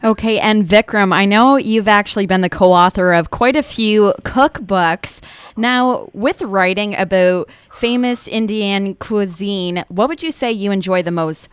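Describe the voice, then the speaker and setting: A woman co-hosting a radio show, asking a guest a question in a composed, clear way. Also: medium pitch, no echo. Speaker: radio co-host.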